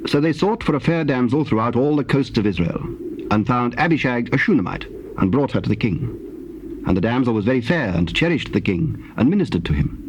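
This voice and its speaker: Measured male scripture narrator. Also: mid-range voice, lively intonation. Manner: measured, formal. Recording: faintly noisy old cassette tape.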